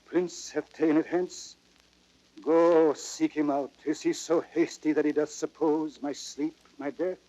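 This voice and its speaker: A man's voice: fairly high pitch, fairly even intonation, a little slow, warm tone, no echo, close to an everyday voice, poor audio. An actor playing a dying old king, bitter and grieving over his son, in declamatory classical Shakespearean radio drama style. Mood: weak, anxious. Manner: declamatory, with big swells and drops.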